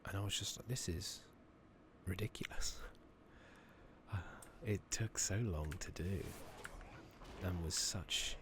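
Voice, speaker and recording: A soft-spoken British man giving casual, rambling ASMR commentary over a game, sometimes near a whisper, with hesitant pauses as he muses. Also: low-pitched. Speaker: streamer playing an online fantasy game. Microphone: close makeshift microphone. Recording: noisy, slightly loud close microphone.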